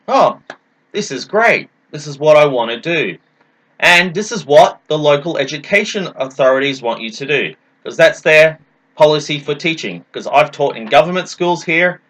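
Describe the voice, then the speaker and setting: A man's voice: on the high side, bright, forceful tone. A man giving an explanatory presentation as a teacher, in an emphatic, didactic manner, posing questions and answering them. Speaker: English teacher presenting a talk.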